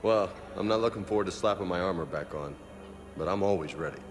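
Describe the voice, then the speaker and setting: A man's voice: deep voice, fast talker, natural voice. A man playing a brash, eager young soldier in a video game, talking casually with cocky but earnest confidence. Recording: faint music behind the voice.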